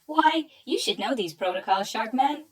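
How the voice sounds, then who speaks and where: Casting: woman as relentlessly friendly robot announcer, high and husky-edged, formal, radio drama. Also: lively intonation, normal speed, far from the mic. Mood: brightly officious. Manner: over-polite, formal, perky. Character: chirpy, officious female robot messenger with forced friendliness. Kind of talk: radio play acting.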